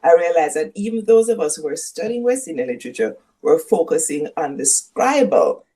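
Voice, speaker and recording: Older Jamaican woman, dark husky voice. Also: deep voice, big pitch swings, fairly quick. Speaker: guest professor. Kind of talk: reflective interview conversation.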